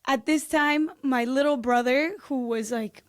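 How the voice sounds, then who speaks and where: A bubbly woman in her twenties from Peru, chatting as a podcast guest. Her voice sits high, and she tells her family story with quick laughs. The sound is dry and close. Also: dry recording. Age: 20s. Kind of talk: casual interview chat.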